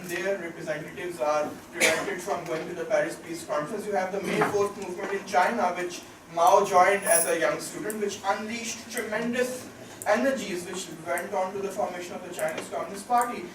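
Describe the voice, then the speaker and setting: A man on a seminar panel, lecturing at length and listing places and events. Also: high-pitched. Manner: lecturing, mixes in Urdu asides. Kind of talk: academic panel discussion. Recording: roomy hall, distant mic, noisy.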